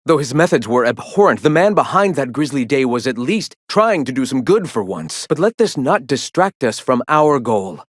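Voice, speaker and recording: A man's voice: medium pitch, big pitch swings, moderate pace, few pauses, no room sound. A male cult leader from a video game, serene and self-important. His mid-range voice rises and falls widely as he preaches in lofty, formal phrases. The delivery is theatrical and righteous, and the sound is close and dry with no room to it. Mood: earnest, preaching. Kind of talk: video game character dialogue acting.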